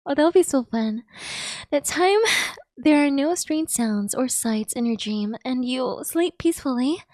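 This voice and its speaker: A woman live-streaming her excitable, emotional reaction to a game, exclaiming and casually swearing. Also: sits high, a little slow, no echo. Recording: game music behind. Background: game music.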